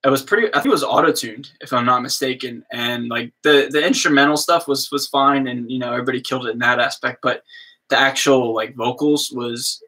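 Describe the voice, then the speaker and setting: Bright-voiced laid-back East Coast man. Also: medium pitch. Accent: American East Coast. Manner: slow drawl, lots of fillers like "you know what I mean". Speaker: livestream host, musician. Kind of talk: casual livestream chat answering viewers.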